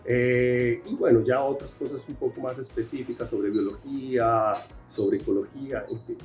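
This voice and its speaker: A man's voice: mid-range voice, fairly even intonation, normal speed, a little mellow, soft background music. A Colombian man with an accent tinged by years in Brazil, answering interview questions as a researcher, with many fillers and restarts. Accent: Colombian Spanish with Brazilian Portuguese traces. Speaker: researcher guest.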